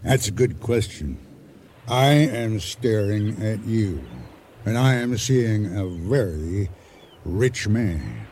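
A man acting a boastful, scheming sea-adventurer and hijacker in a radio drama, with a deliberate, insinuating delivery full of dramatic pauses. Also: on the low side, animated, a little mellow, slight background noise. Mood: smooth, seductive. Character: boastful, scheming male sea-adventurer turned ship hijacker, silkily persuasive.

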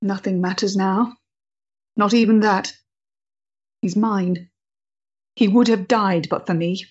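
An actress playing a proud, intense woman rebel of the Victorian era, measured, grave and dramatic, in a period stage play. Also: mid-range voice, fairly even intonation, darkish tone, husky, highs cut off. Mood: intense, defiant.

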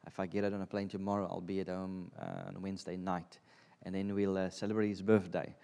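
A man's voice: low-pitched, flat delivery, brisk, gravelly, mellow. A man in his thirties with a South African accent, Afrikaans his first language, giving an informal sermon as a guest preacher, hesitant with many ums and restarts. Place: church sanctuary. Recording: reverberant church hall.

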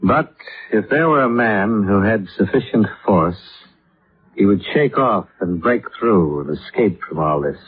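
An American actor playing a cool, terse insurance investigator in a vintage radio detective drama, matter-of-fact in manner. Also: male voice, fairly low pitch, lively intonation, unhurried, slightly rough. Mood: reading aloud, measured. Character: probing, terse male insurance investigator, hard-boiled and cool. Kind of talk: 1950s radio detective acting. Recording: muffled, phone-like vintage radio recording.

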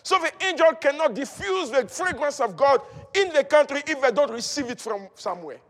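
A male preacher delivers a fervent sermon in a very high voice that rings with long hall reverb. His delivery is declamatory and emphatic, built on repeated key phrases. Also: animated, normal speed.

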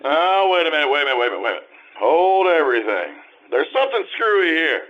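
A man's voice: on the high side, sing-song, quick pace, lo-fi sound. A man playing a sardonic, indignant magazine writer, blustery and sarcastic, in an old-time radio style.

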